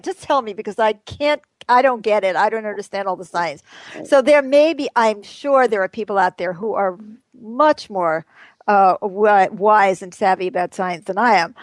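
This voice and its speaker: A woman's voice: mid-range voice, dry recording. A woman hosting a radio panel discussion, bubbly and emphatic, laughing often as she introduces her guests. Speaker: radio show host.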